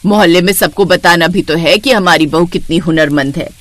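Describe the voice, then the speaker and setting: A woman voicing a bossy, sharp-tongued middle-aged mother-in-law who scolds and demands, in a cartoon story. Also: lowish voice, normal speed, no echo, pitched below the performer's own voice, natural voice.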